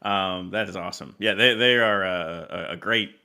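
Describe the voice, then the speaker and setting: A man with a bright, forceful voice on the slightly low side, hosting a casual music chat. He speaks appreciatively, in halting phrases, as he plugs events. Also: vocal fry. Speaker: podcast host. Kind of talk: casual interview and event promotion.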